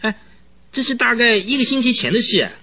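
An actor playing an agitated, talkative male client who recounts eerie events in a halting, rambling way in a radio drama, with a husky voice. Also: very high-pitched, lively intonation, sharp tone, full character voice. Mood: earnest, puzzled. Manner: rambling, halting, full of interjections. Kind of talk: Chinese radio drama acting.

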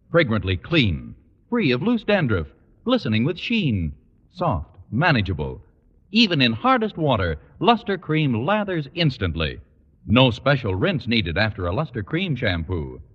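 Emphatic old-time radio pitchman. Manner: emphatic salesy announcing. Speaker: radio announcer. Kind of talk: 1950s radio commercial. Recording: muffled old radio broadcast with reverb and music.

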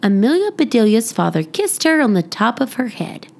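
A woman reading a children's storybook aloud as a scripted narrator, expressive and deliberate. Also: a little high, creaky. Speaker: children's book narrator, podcast reader.

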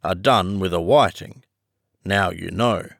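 A man voicing a gruff, impatient and solemn male griffin in a children's storybook, with a brusque, matter-of-fact manner. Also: sits low, a little slow, a bit bright, no room sound, clean studio sound, exaggerated voice.

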